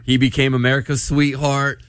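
A man's voice: mid-range voice, level tone, moderate pace, a bit bright, dry recording. Casual male talk show host, dry studio sound. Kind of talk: casual radio show banter.

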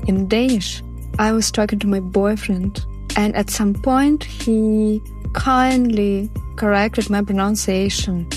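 A woman whose first language is not English, sharing a personal story slowly and earnestly. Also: mid-range voice, darkish tone, soft background music. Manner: slow, careful, earnest.

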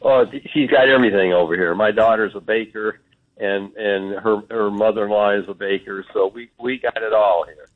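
Older man calling in on a tinny phone. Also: lowish voice. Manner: relaxed, halting, with fillers. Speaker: caller. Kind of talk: casual phone call to a radio show.

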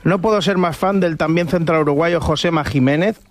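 Man from Catalonia, radio comedian, higher pitch, comic banter, animated stumbling storytelling. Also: a little fast, dry recording. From Catalonia, Spain.

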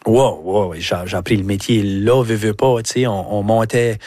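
Male musician guest, Acadian French accent, lower-pitched, casual and anecdotal, mixing in English words, close dry sound. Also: fairly even intonation, moderate pace, darkish tone, dry recording. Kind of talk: casual interview chat.

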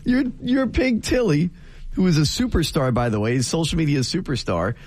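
Playful forty-something radio co-host. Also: male voice, a little high, huge pitch swings, a little mellow. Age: 40s. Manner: playful, teasing, quick to laugh. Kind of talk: casual morning radio banter.

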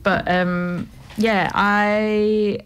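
A woman's voice: medium pitch, little pitch movement, very slow, a little hiss or hum. A young woman from Scotland with a faint Scottish accent, a puppet maker chatting casually as a guest in a radio studio interview. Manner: chatty, rambling, laughs easily.

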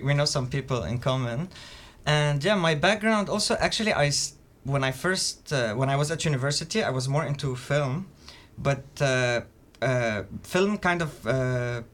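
A man from Lebanon, a musician answering interview questions in a friendly, slightly halting way. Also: medium pitch, fairly bright tone.

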